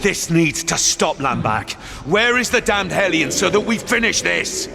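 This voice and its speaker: An actor playing a determined human hero who talks bluntly and wryly. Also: male voice, high voice, big pitch swings, a little slow, creaky, over music, full character voice. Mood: defiant. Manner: blunt, wry, defiant. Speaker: game protagonist.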